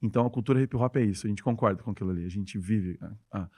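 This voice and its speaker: A man's voice: on the low side, darkish tone. A man with a gaúcho Brazilian accent, a dance teacher telling stories with enthusiasm as a podcast guest. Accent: Gaúcho Brazilian Portuguese. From Rio Grande do Sul, Brazil. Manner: enthusiastic, rapid storytelling. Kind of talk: casual interview chat.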